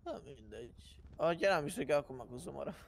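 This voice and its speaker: A man's voice: fairly high pitch, lively intonation, vocal fry. A man chatting casually as he plays an online role-play game. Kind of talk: casual chat during online gameplay. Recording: noisy game voice chat.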